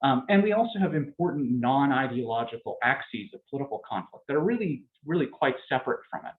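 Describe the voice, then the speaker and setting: A man giving an academic political science lecture, explaining in a measured way with frequent fillers. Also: mid-range voice, lively intonation. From Canada. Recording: tinny phone-like lecture recording.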